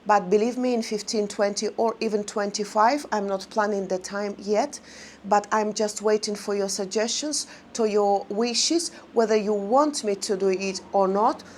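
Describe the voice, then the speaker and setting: A woman vlogger chatting casually to her viewers in non-native English, rambling and halting with many fillers. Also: mid-range voice, fairly even intonation, a little hiss or hum.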